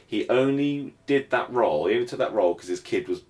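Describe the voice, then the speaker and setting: A man's voice: medium pitch, animated, quick pace, a little mellow. A British man co-hosting a podcast, chatting casually in a slangy, matey way and putting questions to his partner. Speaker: podcast co-host. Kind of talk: casual chat.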